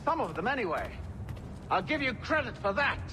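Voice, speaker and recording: An actor playing a commanding, vengeful older gentleman with eloquent, sardonic delivery in a video game. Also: very high voice, sing-song, brisk, background noise. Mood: mocking, menacing. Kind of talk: video game cutscene acting.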